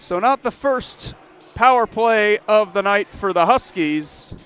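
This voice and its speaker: A man calling live hockey play-by-play, brisk and lifting his voice on rushes toward the net. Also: high-pitched, expressive, fairly bright tone, clear, resonant tone. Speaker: play-by-play commentator.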